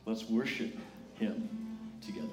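A man's voice: lowish voice, animated, unhurried, music in the background. Male pastor in an echoey church. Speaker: pastor welcoming a congregation. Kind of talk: church welcome and scripture reading. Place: large indoor church during a live service. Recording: echoey church sanctuary, clear background noise.

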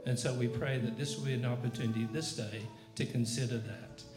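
A man leading an extemporaneous public prayer as a pastor, reverent, in measured phrases. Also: mid-range voice, fairly even intonation. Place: church sanctuary during live service. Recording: echoey room, music behind voice.

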